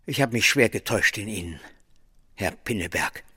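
Actor as domineering boss, low-voiced, reverberant. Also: male voice, lively intonation, normal speed, heavy background noise, natural voice. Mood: pressuring. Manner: curt, domineering, colloquial. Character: bullying, sly male grain-merchant boss. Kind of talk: German radio play acting.

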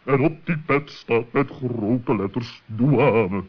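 An actor playing a practical, plain-speaking male owl in a children's radio play, with a husky voice. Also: medium pitch, takes it slowly. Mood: astonished. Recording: dull old radio play recording.

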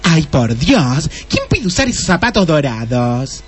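A woman's voice: deep voice, sing-song, a little slow, soft background music. An actor playing a chatty, cheeky female police assistant in a radio play, with an Argentine accent and a casual, teasing manner. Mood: cheeky, curious. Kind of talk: Spanish-language radio play acting.

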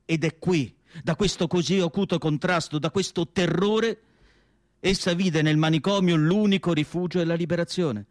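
A man playing a melancholy asylum doctor in radio theatre, narrating in an emphatic literary monologue with dramatic pauses. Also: a little high, no room sound, studio quality, lightly acted. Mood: grave, intense. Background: music.

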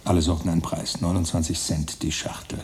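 An actor playing an obsessive, meticulous writer in a radio drama, listing and calculating with methodical precision. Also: male voice, sits low, flat delivery, brisk, a little mellow, close to an everyday voice. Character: obsessive man, a writer, meticulously planning his austere seclusion. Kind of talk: radio drama monologue, German.

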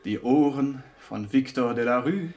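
An actor in a radio play playing a bitter accuser, deliberate, sardonic and goading. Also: male voice, mid-range voice, a little mellow, highs cut off, slight background noise, natural voice. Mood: sardonic, relentless. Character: bitter, accusing man relentlessly exposing a murderess.

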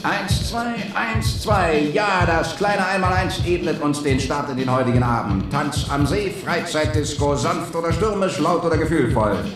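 A man's voice: on the high side, normal speed, hardly any pauses, lightly acted. An actor playing a showy, jovial disco DJ in his thirties, giving florid announcements in a radio play. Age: 30s. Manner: florid, theatrical announcer patter. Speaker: disco host. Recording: echoing PA with loud music behind. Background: loud music.

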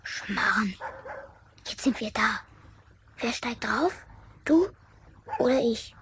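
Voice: expressive, takes it slowly, a bit bright, a little hiss or hum. A performer playing a cocky, vengeful Bavarian schoolboy in a children's radio play, with a childlike voice and a brash, goading manner. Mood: hushed, daring. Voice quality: high childlike voice.

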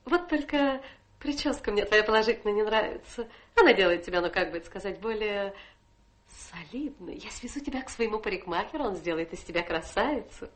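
A woman's voice: medium pitch, lively intonation, brisk, lightly acted. Coquettish, self-assured young society lady played by an actress. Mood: gushing, patronising. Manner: coquettish, mocking, affected. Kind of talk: stage-trained radio play acting.

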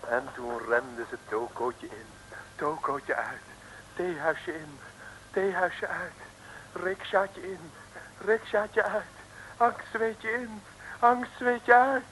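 A man's voice: high voice, slow, fairly bright tone, poor audio. A man narrating an old radio serial as a playful, theatrical storyteller. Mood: breathless suspense. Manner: theatrical, singsong lists. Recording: old radio recording, light noise.

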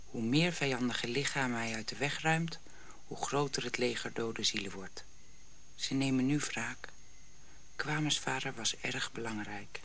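Hushed, low-voiced gentle mother. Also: unhurried, a little hiss or hum, heavily acted. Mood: soothing. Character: gentle African mother soothing her young child. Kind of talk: radio drama acting.

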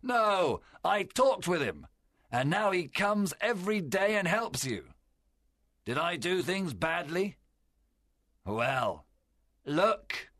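A man voicing a fierce, cunning pirate captain with a strained, gravelly rasp and theatrical, commanding delivery in a storybook reading. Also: high voice, big pitch swings, takes it slowly, pauses often, fairly bright tone, dry recording, studio quality, voice pushed up, exaggerated voice. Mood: angry, scornful. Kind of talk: dramatized audiobook character voice.

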